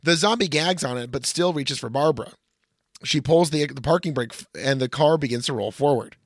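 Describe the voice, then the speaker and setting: A man's voice: medium pitch, fairly quick, no echo, studio quality. A man co-hosting a comedy podcast, bantering irreverently and reading a screenplay aloud in a mock-dramatic way, with swearing and interjections. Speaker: podcast co-host.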